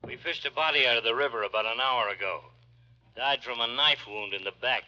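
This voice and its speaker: Gruff police detective, very sharp forceful tone, clipped, muffled vintage radio recording. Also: male voice, mid-range voice, brisk. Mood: blunt, grim. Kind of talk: 1940s radio drama acting. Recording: dull old radio recording.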